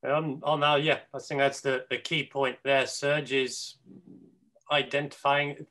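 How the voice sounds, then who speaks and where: A man's voice: mid-range voice, flat delivery, unhurried, pushed and bright, rough recording. A man in his thirties hosting a webinar from a room at home, asking questions hesitantly with many fillers over a video call. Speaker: host. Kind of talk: webinar moderating.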